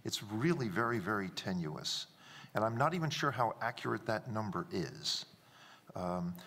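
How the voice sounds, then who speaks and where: A man with a low, husky voice gives an offhand answer to a reporter and hedges his numbers. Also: a bit bright. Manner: offhand, hedging. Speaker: panelist radio astronomer. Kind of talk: answering press questions.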